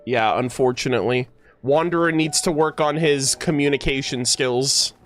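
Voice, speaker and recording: Excitable, slangy woman streamer. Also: rumbling low, moderate pace. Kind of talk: casual gameplay reaction commentary. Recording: faint game music behind the voice.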